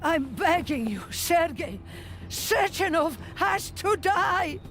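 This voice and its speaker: A woman's voice: very high voice, expressive, takes it slowly, heavily acted. A woman playing a fierce, vengeful old granny in a video game, blunt, scolding and dramatic in her delivery. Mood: furious, demanding. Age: older.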